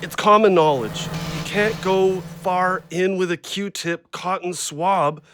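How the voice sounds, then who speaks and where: An actor in an audio drama playing an agitated, rambling injured logger who talks in insistent, over-precise detail. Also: male voice, high-pitched, slow, noisy background. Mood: fixated, insistent.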